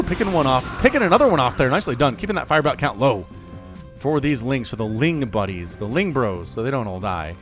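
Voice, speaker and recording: Animated man casting a strategy game. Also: a little high. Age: 30s. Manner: excitable, chatty, jokey asides. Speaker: game caster. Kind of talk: solo esports play-by-play commentary.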